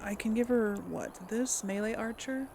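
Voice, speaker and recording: A woman's voice: on the high side, moderate pace, background noise. A woman giving casual let's play commentary, chatting and thinking aloud as she goes. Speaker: gaming channel host.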